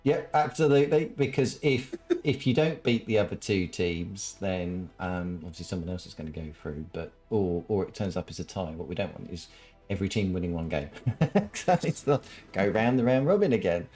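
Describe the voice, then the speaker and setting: A man casting a live strategy game tournament, explaining the format with many pauses and ums. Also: low-pitched, expressive, mellow, faint music behind. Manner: halting, many ums, laughs often. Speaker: esports caster.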